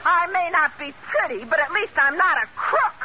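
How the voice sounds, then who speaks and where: A tart, scornful wife with a very high voice that leaps around in pitch. The acting is vintage radio comedy, heard through a muffled old recording. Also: female voice, lively intonation, normal speed, a faint crowd, a little hiss or hum. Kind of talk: 1940s radio sitcom acting.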